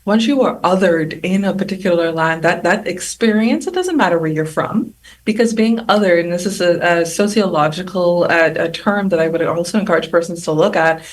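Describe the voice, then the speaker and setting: A woman's voice: fairly low pitch, fairly quick, far from the mic. Chatty woman, a bit off-mic. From Bahamas. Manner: chatty, animated, many fillers and restarts. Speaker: panel guest. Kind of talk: roundtable discussion.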